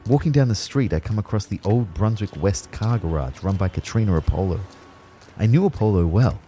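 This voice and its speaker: A man with an Australian accent playing a bemused, wry first-person narrator in an audio drama, with a husky, expressive voice. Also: fairly low pitch, fairly quick, slight background noise, close to an everyday voice. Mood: puzzled, reflective.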